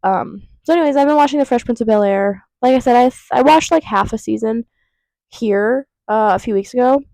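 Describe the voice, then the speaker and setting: A woman's voice: fairly high pitch, fairly quick, mellow, no room sound. Stuffy-nosed young woman rambling casually. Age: 20s. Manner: rambling, lots of fillers and tangents. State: congested with a cold. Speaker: vlogger. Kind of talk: casual chat to camera. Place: vape shop counter.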